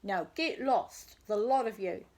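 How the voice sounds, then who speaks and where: A woman voicing a lazy, horrid little male pig from a storybook, rude and defiant, shouting threats. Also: mid-range voice, measured pace. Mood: dismissive. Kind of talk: children's storybook character voice.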